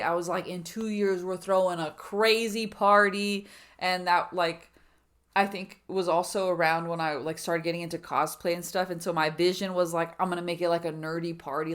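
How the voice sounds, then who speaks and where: A woman in her thirties with a voice pitched a little low speaks in a loose, rambling vlog style. She fills her talk with "like" and restarts, and now and then slips into quoted asides that voice her own inner thoughts. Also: fairly even intonation, moderate pace, a bit bright. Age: 30s. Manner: rambling, filler-heavy with many "like"s and restarts. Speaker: vlogger. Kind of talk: reflective personal vlog.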